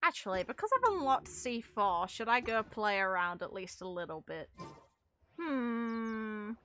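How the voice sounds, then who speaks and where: A woman's voice: mid-range voice, expressive, a little slow, pushed and bright, a little hiss or hum. A woman chatting casually as she streams a video game, rambling in a wry, mildly sweary way. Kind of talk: casual live stream chat while playing a video game. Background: game music.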